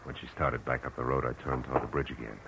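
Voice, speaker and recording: A man's voice: very deep voice, level tone, fast. An American man playing a hard-boiled Los Angeles private detective in a radio drama, with clipped, commanding, world-weary speech. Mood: grim, watchful. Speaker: lead actor and first-person narrator. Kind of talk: 1940s radio detective acting and narration. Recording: noisy old-time radio recording.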